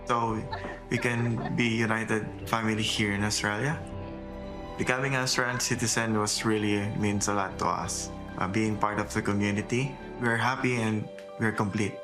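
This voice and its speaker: Man, lowish voice, earnest and halting, music bed. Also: steady tone. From Philippines. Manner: earnest, simple halting phrasing.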